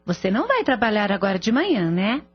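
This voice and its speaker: A woman's voice: mid-range voice, very animated, fast, slightly rough. A woman playing a fussing, caring mother of a grown son in a radio soap opera. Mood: caring concern. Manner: scolding yet motherly. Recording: dull lo-fi audio with music behind.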